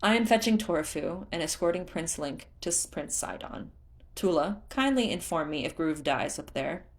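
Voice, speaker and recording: A woman's voice: low voice, expressive, normal speed. Woman as a formal, weary fish-folk man. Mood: exasperated.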